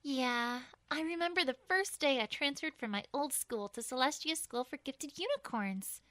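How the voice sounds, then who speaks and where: A woman's voice: high-pitched, lively intonation, normal speed, bright, forceful tone, dry recording. A woman voicing a friendly, studious young adult female pony in an audio story, earnest and articulate. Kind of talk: acted audio story dialogue.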